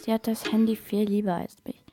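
A woman's voice: mid-range voice, flat delivery, fairly quick, music in the background, lightly acted. A female performer voicing a neglected young kindergarten child who whines for a mother's attention in a radio sketch. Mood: hurt, sulky.

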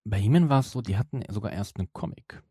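A man's voice: on the low side, expressive, quick pace, warm tone, husky, no echo, clean studio sound. A man, chatting casually as a podcast co-host. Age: 40s. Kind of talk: casual chat about audio dramas.

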